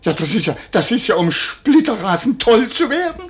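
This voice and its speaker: An ancient nobleman husband in an old radio drama. He is theatrical and blustering, doting yet jealous, his pitch leaping up and down as he exclaims. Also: very animated, normal speed. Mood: furious. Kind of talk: 1940s German radio play acting.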